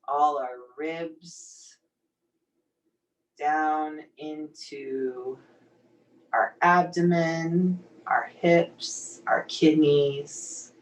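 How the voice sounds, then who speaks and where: A woman leading a guided meditation in a soothing, gently encouraging, unhurried manner. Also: sits low. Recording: distant microphone pickup.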